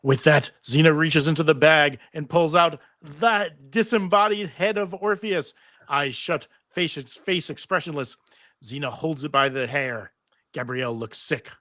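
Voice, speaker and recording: A man's voice: on the high side, measured pace, bright, forceful tone, dry recording, clean studio sound. A man narrating stage directions from a script at a live table read.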